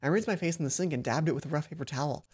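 A man's voice: on the high side, very fast, darkish tone, slight background noise. A young man hosting a let's play, reading visual novel narration aloud and adding casual commentary. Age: young adult. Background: faint game music.

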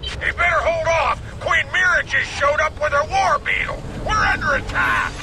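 An actor playing a scrappy, excitable soldier and truck driver in a video game, with a gravelly voice and folksy, rough grammar. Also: male voice, extremely high pitch, normal speed, heavy background noise. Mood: urgent. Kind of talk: video game cutscene acting.

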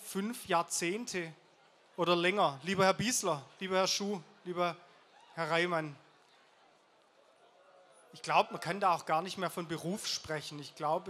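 Mann mit hoher Stimme, stockende Bühnenrede. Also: male voice, high voice, fairly bright tone. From southwestern Germany. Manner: earnest, halting, with fillers and restarts. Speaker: jury speaker at an awards gala. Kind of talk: stage speech. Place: large event hall stage. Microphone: handheld microphone.

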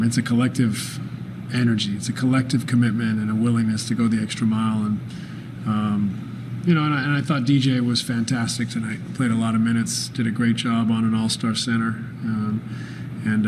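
A man in his forties, a basketball head coach answering postgame press questions in a calm, thoughtful way, often pausing with fillers and restarting phrases. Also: lowish voice, background noise. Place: press room with sponsor backdrop, indoors. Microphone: desk microphone at arm's length.

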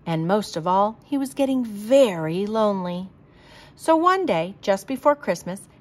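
Expressive woman reading a children's story. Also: mid-range voice. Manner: deliberate, expressive. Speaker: storybook reader. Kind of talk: children's story read-aloud.

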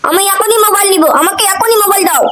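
A woman's voice: very high voice, rapid-fire, over a noisy crowd. A woman playing a stubborn, demanding young son in a comedy cartoon, speaking in an insistent, bratty way. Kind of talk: exaggerated comedy cartoon acting.

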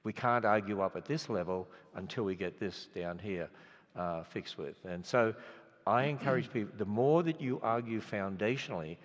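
A man's voice: lowish voice, moderate pace. An older man with an Australian accent, preaching in an earnest, conversational way full of restarts. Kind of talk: storytelling sermon. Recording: lo-fi sound in a reverberant hall.

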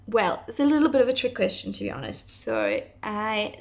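A woman's voice: medium pitch, wildly swooping pitch, moderate pace, vocal fry. A woman in her thirties from South Africa, her accent tinged with English, chatting to camera in a rambling, casual way while working at her bench at home. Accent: South African with some English. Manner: rambling, chatty, laughs often. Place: home workshop, small room indoors.

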